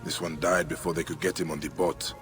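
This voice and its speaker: A man playing a stern, determined investigator in a video game, speaking tersely and deliberately. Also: extremely low pitch, flat delivery. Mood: brooding, analytical. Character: stern, determined male investigator hunting a relic thief. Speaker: game protagonist. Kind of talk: dramatic video game acting. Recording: music behind the voice. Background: cinematic game music.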